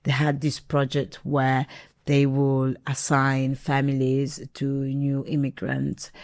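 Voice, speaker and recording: A woman from northern Italy, a podcast guest, talking about herself in a reflective, unhurried way with frequent pauses and ums. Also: very deep voice, monotone, darkish tone, dry recording. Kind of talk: interview conversation.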